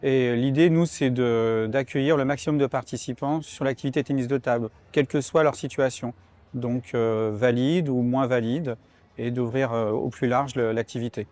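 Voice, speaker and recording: A man's voice: medium pitch, fairly even intonation, normal speed, a little mellow. A man representing a sports club in an unscripted interview, hesitant and searching for his words.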